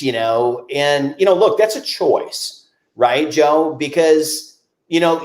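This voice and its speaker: A man in his forties hosts a podcast interview, talking loosely and leaning on "you know" between thoughts. His voice rings in an echoey room. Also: medium pitch. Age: 40s. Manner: talkative, many "you know" fillers. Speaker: podcast host. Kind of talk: casual interview chat.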